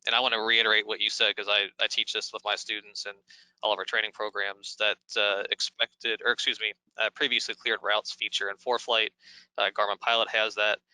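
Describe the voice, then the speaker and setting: A man's voice: fairly low pitch, little pitch movement, a little fast, pushed and bright, clean tone, no echo, rough recording. An American man hosting a Q&A as a pilot and instructor, talking in an informal, wordy, instructive way. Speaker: host, pilot and flight instructor. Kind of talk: conversational Q&A hosting.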